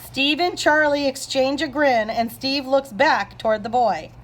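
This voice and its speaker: A woman with a fairly high voice narrates a script. She reads the stage directions aloud as the narrator. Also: unhurried.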